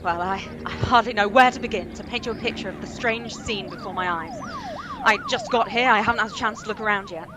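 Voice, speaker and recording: An actress playing a female radio reporter in a radio play, briskly announcing and getting flustered at a strange scene. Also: on the high side, huge pitch swings, background noise. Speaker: radio commentator. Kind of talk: amateur radio play acting.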